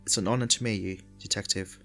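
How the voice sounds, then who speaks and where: An actor playing a polite, inexperienced young detective in a podcast drama, formal and slightly hesitant. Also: male voice, on the low side, fairly even intonation, fast, bright, forceful tone, faint music behind. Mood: eager, respectful.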